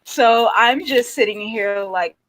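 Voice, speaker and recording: Woman, New York accent, panel host; very slow, halting delivery with long pauses. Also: fairly high pitch, fairly bright tone, poor audio. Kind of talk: casual panel chat.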